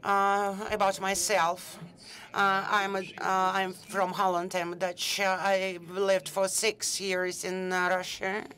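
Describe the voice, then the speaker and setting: A woman giving a halting live interpretation of a speaker at a conference. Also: medium pitch, monotone, a bit bright. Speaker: simultaneous interpreter.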